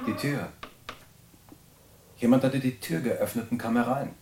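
An actor playing an anxious, paranoid male mental patient confessing his story in a halting, brooding way in an audio drama. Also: medium pitch, a little mellow, slight background noise, lightly acted. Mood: fearful suspicion.

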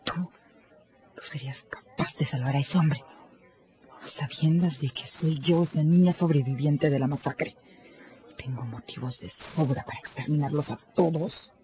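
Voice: medium pitch, normal speed, warm tone, dull audio, natural voice. A performer playing a vengeful, merciless tavern keeper in a radio drama, cold and insistent. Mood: cold vengeance. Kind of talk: Spanish-language radio drama acting.

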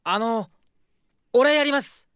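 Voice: medium pitch, slow, fairly bright tone, smooth, no room sound, studio quality. An actor playing a brash, eager young male thief with a casual, cheeky way of talking in a radio drama. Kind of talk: Japanese radio drama acting.

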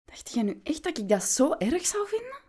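An actress playing an indignant, melodramatic wife in a break-up, with a Flemish accent, in a comedic radio play. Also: female voice, very high-pitched, big pitch swings, normal speed, dark tone. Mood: softening. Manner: melodramatic, exasperated.

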